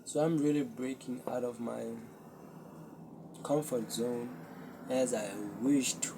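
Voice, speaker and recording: A young man, a Zulu speaker, hosting his own podcast and talking earnestly and reflectively to a camera with many pauses. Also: mid-range voice, steady tone, background noise. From South Africa. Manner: slow, earnest, self-searching, with pauses. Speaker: podcast host. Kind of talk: solo reflective talk to camera.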